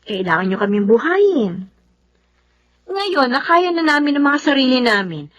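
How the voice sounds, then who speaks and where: A devoted grown daughter in a Filipino radio drama. Her high voice swings widely as she speaks, emphatic, earnest and stubborn. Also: sing-song, moderate pace, a bit bright, muffled recording, exaggerated voice. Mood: earnest, coaxing. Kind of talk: Tagalog radio drama acting.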